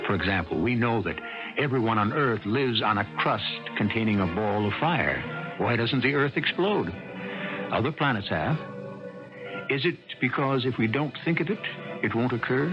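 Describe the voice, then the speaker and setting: A middle-aged man hosting a radio drama, narrating in a solemn, deliberate, theatrical and rhetorical way. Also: lowish voice. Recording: dull vintage radio broadcast. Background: clear music.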